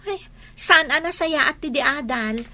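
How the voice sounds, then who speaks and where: A woman's voice: high-pitched, unhurried, bright, forceful tone, full character voice. A woman playing a gossipy, judgmental young daughter in a radio drama, with an Ilocano Filipino accent.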